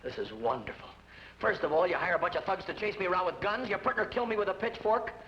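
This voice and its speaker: A man's voice: high voice, fast, a bit bright. A man playing a bitter, agitated younger brother who speaks accusingly, in an old radio drama. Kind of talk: 1950s radio drama acting. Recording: echoey muffled old radio broadcast.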